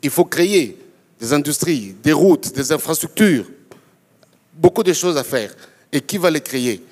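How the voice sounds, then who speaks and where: A man's voice: on the high side, lively intonation, a little slow, a little mellow. Man speaking in a reverberant hall. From DR Congo. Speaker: panelist, Congolese entrepreneur. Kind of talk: impassioned panel remarks in a public forum. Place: large conference hall.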